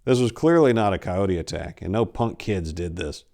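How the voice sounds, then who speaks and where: A man in his forties giving a theatrical, expressive dramatic reading of a scary short story. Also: low voice, moderate pace, vocal fry. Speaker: story reader. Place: indoors, book-lined room. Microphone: lapel.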